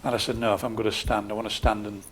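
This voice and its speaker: Calm older man with a Geordie accent. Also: fairly low pitch, a little hiss or hum. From Scotland, raised in Newcastle area. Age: 60s. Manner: measured, matter-of-fact, calm. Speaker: local councillor, interview guest. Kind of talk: calm interview answers.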